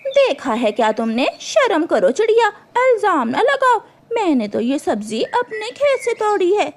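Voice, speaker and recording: A woman's voice: extremely high pitch, big pitch swings, measured pace, pitched above the performer's own voice, heavily acted. A woman voicing a sly, pushy, defiant female crow in a children's cartoon. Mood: indignant, brazen. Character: sly, brazen female crow, scheming wife.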